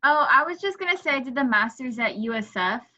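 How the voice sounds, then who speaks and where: A woman's voice: sits high, lively intonation, fairly quick, bright, forceful tone. A woman medical student on a video-call panel, earnestly and reflectively explaining her own application choices. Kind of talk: casual account on a video call. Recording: dull lo-fi video call audio.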